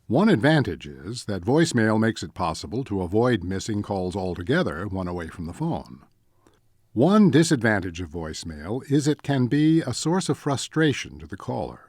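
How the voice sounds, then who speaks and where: Lively, measured male training narrator, dry sound. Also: fairly low pitch, dry recording. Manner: measured, deliberate, enumerating points. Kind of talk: scripted instructional narration.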